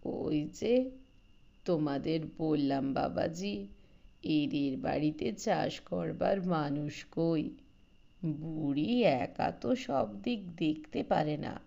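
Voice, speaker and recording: A woman's voice: sits low, animated, slow, creaky, dark tone, lo-fi sound, voice pushed down, exaggerated voice. A woman voicing a talkative old village man, folksy, coaxing and boastful, in an audio story. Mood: persuasive.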